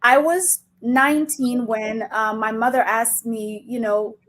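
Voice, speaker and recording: Kenyan woman, slightly high voice, explaining emphatically. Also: fairly even intonation, measured pace, fairly bright tone. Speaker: interview guest, coach. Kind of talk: conversational interview answers.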